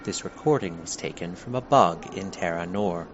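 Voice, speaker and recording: Casting: man as a matter-of-fact archivist-like official for audio drama, formal scripted delivery, muffled sound with clear background noise. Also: low voice, normal speed. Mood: official, measured. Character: formal official presenting evidence to listeners. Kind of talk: scripted in-world narration.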